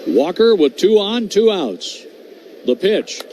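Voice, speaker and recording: A man working as a radio play-by-play announcer, calling baseball pitch by pitch in a brisk, steady way that rises on big plays. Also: a little hiss or hum, poor audio. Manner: brisk, steady calls that lift on hits.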